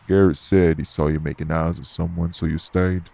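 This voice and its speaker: A man acts out a gruff, unbothered nightclub tough guy for an audio roleplay. His voice sits very low, with heavy gravel and a dark, relaxed tone. He speaks bluntly in streetwise slang, straight to the listener. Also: slight background noise, full character voice. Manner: blunt, laid-back, streetwise slangy talk.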